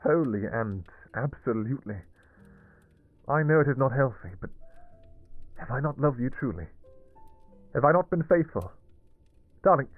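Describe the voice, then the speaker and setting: A man playing an anguished, devoted vampire king in a fantasy romance audio roleplay, speaking in halting, pleading, formal old-fashioned phrases. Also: medium pitch, no room sound, phone-quality sound, close to an everyday voice. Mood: pleading, terrified. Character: anguished, devoted vampire king, obsessive lover and new father.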